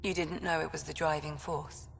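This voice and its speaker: An actress playing a calm, caring woman confidante in a video game, gentle and supportive. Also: sits low, moderate pace, gravelly. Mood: gentle, probing. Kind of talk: video game cutscene acting. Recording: reverberant hall, faint background noise.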